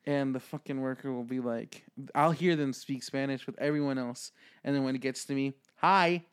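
Man, twenties, Midwestern American accent, mid-pitched voice, casual podcast banter, joking, free with swearing. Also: normal speed, clear, resonant tone. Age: 20s. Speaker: podcast co-host. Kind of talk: casual comedic podcast chat.

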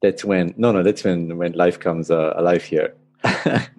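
Male guest, forties, deep voice, hesitant storyteller. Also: fairly even intonation, a little slow, darkish tone. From Austria. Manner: hesitant, with fillers and restarts. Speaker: podcast guest. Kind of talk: casual interview chat.

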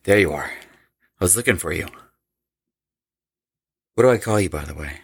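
Man acting an intense low-voiced young rocker. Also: animated, normal speed, husky, full character voice. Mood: challenging. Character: intense, cocky young male rock band leader. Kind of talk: horror audio drama acting. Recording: voice over prominent background music.

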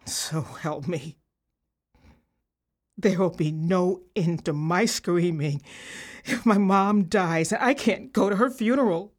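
A woman's voice: on the low side, slow, pauses often. An actress playing a bitter woman inmate, speaking with dry sarcasm. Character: bitter woman inmate denied family funerals. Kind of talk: audio play acting.

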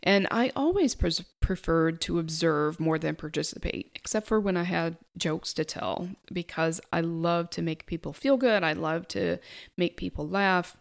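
Soft-spoken, quiet middle-aged woman. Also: fairly low pitch, creaky. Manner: quiet, reflective, halting, with small laughs. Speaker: self-reflection speaker. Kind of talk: personal reflective monologue.

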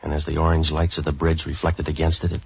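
An actor playing a wisecracking, street-wise boat-for-hire man in 1940s radio style, clipped, sardonic and deadpan, both in narration and dialogue. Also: very deep voice, fast talker, creaky, slightly rough, full character voice. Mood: wistful, deadpan. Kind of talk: 1940s hard-boiled radio acting, first-person narration. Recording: dull old 1940s radio recording.

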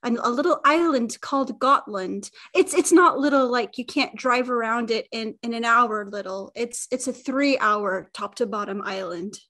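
Guest voice: middle-aged woman, higher pitch, lively and chatty, on a video call. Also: moderate pace, few pauses. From Utah, USA. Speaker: podcast guest, YouTuber. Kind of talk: casual interview chat.

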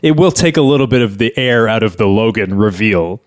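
Animated, incredulous man in podcast banter. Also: lowish voice, a little fast. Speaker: podcast co-host. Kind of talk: casual bantering talk, critiquing a script.

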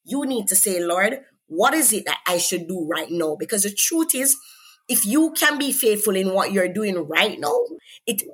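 A woman's voice: medium pitch, a bit bright, breathy edge. Earnest Jamaican woman sharing her faith. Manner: earnest, preacherly, asks "right?" often. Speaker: guest, author and faith coach. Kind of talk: conversational faith interview.

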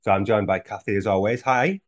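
A man's voice: fairly low pitch, brisk, highs cut off. A man hosting a podcast in a casual, chatty style, often stumbling over and repeating words. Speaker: podcast host. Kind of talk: casual chat.

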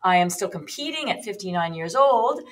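Woman, late fifties, podcast host and trainer; chatty, explanatory, mid-pitched. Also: animated, moderate pace. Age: 50s. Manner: chatty, explanatory, with frequent ums and restarts. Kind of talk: casual solo podcast talk.

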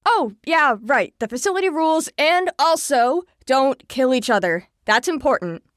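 Woman as a breezy, high-voiced staff lady. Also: lively intonation, moderate pace, dry recording, studio quality. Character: breezy, cheerful female facility staff member. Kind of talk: audio drama dialogue.

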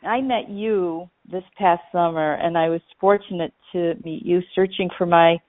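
A woman asks questions in an easy, conversational way, nudging the talk along with soft affirmations. Her voice sits a little low. The sound is thin and phone-like. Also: fairly even intonation, moderate pace. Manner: gently prompting, with many short affirmations. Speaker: interviewer. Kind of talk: conversational interview. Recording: tinny phone-like line.

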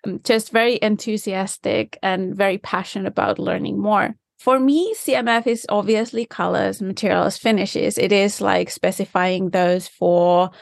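A woman talks through her answers thoughtfully and with enthusiasm, now and then searching for the right word. Her voice sits at a middle pitch. The recording is dry, with almost no room sound. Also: fairly even intonation, normal speed, creaky, few pauses, no echo. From Finland. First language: Finnish. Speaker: guest, design specialist. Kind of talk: conversational interview answers. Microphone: remote call from home.

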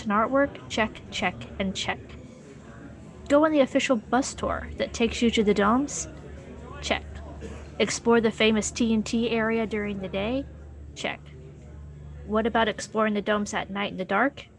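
A woman's voice: a little high, fairly even intonation, measured pace, gravelly. A woman narrating a scripted audio documentary in a wry, conversational storytelling manner. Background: music underscore.

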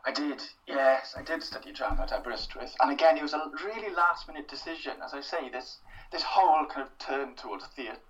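Soft-spoken Welsh man, a little distant. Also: a little high, fairly quick, few pauses. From Wales. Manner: thoughtful, self-deprecating, many fillers and restarts. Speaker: interview guest, writer. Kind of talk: casual interview.

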